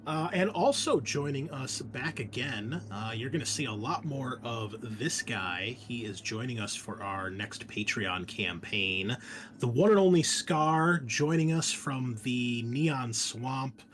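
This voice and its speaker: A man's voice: medium pitch, animated, slow. Guy running a tabletop game, chatty friendly host, bit of noise behind him. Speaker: game master and show host. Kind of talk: casual chat introducing a tabletop game session.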